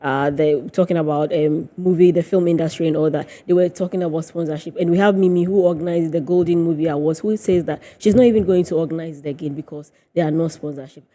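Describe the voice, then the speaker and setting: A woman's voice: low voice, fairly even intonation, quick pace, hardly any pauses, dark tone. A woman co-hosting a conversational studio interview. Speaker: TV co-host.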